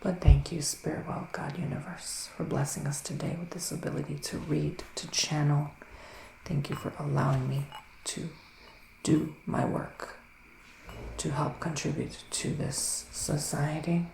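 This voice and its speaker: A man doing a soft-spoken, partly whispered ASMR roleplay as a psychic witch of the woods, with mystical, theatrical phrasing. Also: fairly high pitch, level tone, a little slow, vocal fry. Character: psychic male witch living in the woods, giving readings. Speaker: ASMR performer playing a psychic reader. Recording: roomy echo with faint background noise.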